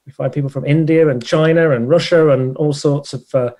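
A man's voice: a little high, steady tone, fairly quick, darkish tone. A man hosting a casual interview, asking questions in a hesitant, rambling way. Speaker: interviewer, host.

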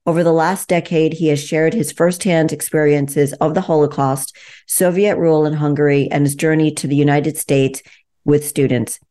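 Low-voiced woman hosting an interview. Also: steady tone, normal speed, dark tone. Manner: earnest, clarifying questions. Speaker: podcast host. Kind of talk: interview host.

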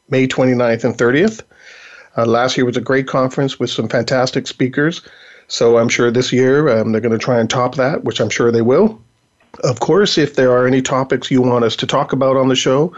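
A man hosting a talk show, chatting casually with many fillers while he is losing his voice. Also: medium pitch. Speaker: podcast host. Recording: dull lo-fi audio.

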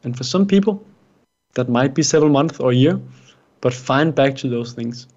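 Danish male guest, native Danish, mid pitch, earnest coaching tone, muffled recording. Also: expressive, normal speed, darkish tone. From Denmark. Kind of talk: interview answers. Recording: dull lo-fi sound.

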